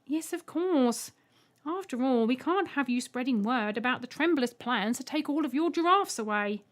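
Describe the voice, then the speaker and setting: A woman's voice: sits high, expressive, moderate pace, pitched above the performer's own voice. A woman voicing a small male robot egg alien in a storybook, cheerfully oblivious, obliging and matter-of-fact.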